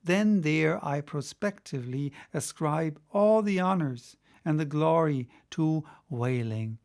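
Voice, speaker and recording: A man reading a classic novel aloud in an expressive, emphatic, oratorical way. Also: fairly high pitch, slow pace, vocal fry. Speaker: audiobook reader.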